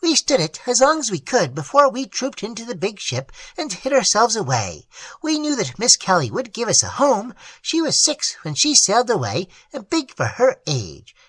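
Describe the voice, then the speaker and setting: Tiny wheedling male troll, as a woman puts him on, shrill and tinkling, wildly swooping pitch. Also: a bit bright, breathy edge, dry recording, exaggerated voice, low-quality recording.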